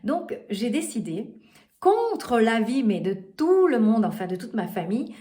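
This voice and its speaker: A woman's voice: a little high, sing-song, a little slow, dark tone. Woman, middle-aged, life coach; earnest, hesitant motivational delivery with frequent fillers. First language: not French.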